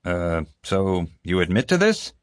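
Man playing stern, low-voiced investigator. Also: very animated, dry recording, clean studio sound. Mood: incredulous. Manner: measured, firm questioning. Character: Hoenikker, stern male investigator, controlled, accusing, authoritative.

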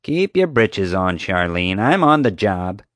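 A man's voice: lowish voice, big pitch swings, unhurried, fairly bright tone, no echo, studio quality. A man voicing a rude, pompous, dim-witted woman security officer in an audiobook, with an officious, accusatory way of talking. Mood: smug, bossy. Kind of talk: audiobook character voice.

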